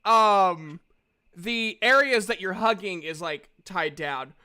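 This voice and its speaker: A game master improvising narration for a tabletop game in an informal, halting manner. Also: mid-range voice, bright, forceful tone, clean tone.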